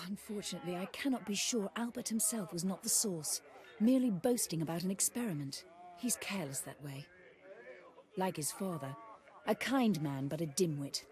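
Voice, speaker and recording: A woman's voice: on the low side, expressive, normal speed, a little mellow, lightly acted. A woman playing a composed older noblewoman in a fantasy video game, formal and polite with a dry wit. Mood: wry, resigned. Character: genteel, composed older noblewoman, wry widowed mother. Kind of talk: video game character acting.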